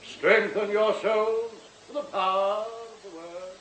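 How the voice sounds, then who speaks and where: A man's voice: high voice, fairly even intonation, a bit bright. An actor in a radio drama playing a pompous, unctuous male cult priest who speaks like a solemn preacher. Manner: slow, incantatory, preacherly. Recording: echoey temple with music behind.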